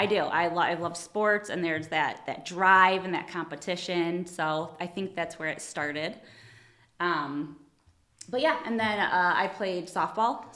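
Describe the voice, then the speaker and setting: A woman's voice: lowish voice, pushed and bright, reverberant. A woman from Ohio working as an attorney, chatting casually in an interview, friendly and modest, laughing often. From Toledo, Ohio. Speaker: attorney, guest.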